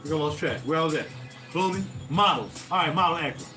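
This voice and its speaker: A fairly high-voiced man, chatting loosely to his livestream audience and wandering between stories. He sits some way back from the mic, and music plays faintly in the background. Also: fast, sharp tone. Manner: digressive, loses his thread, talks to viewers directly. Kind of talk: casual rambling livestream storytelling. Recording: distant mic with music playing behind.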